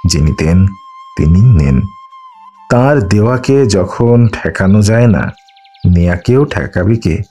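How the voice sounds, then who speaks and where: A man's voice: sits low, animated, slow, pauses often, mellow. A man reading a literary story aloud as a scripted audiobook narration. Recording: music under the voice. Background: background music.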